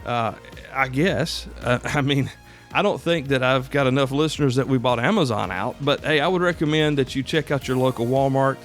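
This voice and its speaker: A man's voice: medium pitch, normal speed, faint music behind. An American man hosting a podcast solo, talking casually in a folksy, conversational way straight to his listeners. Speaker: podcast host.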